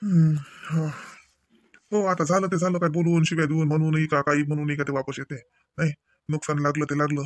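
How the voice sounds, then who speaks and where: Man acting higher-voiced, grumbling young Marathi husband. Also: flat delivery, fairly quick, no room sound, clean studio sound, natural voice. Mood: resigned grumbling. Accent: Vidarbha Marathi. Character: exasperated young husband venting to his sister-in-law. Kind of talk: cartoon dialogue in rural dialect.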